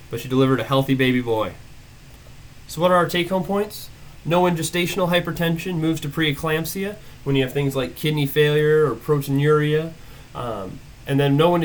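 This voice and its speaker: A man's voice: on the high side, slight background noise, rough recording. Man teaching with a head cold. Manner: brisk, methodical, listing findings in short phrases. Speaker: emergency medicine presenter. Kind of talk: case-based medical teaching.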